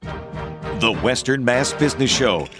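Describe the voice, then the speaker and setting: A man's voice: mid-range voice, expressive, slow. Male station announcer, jingle read, music under. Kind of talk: radio show jingle. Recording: over music.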